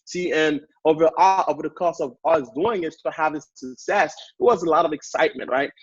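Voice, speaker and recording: A man's voice: fairly high pitch, brisk, breathy edge, dry recording, highs cut off. Hyped young Haitian-accented man. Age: 20s. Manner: hyped up, energetic, repetitive. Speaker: guest speaker on a sales training call. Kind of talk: motivational talk.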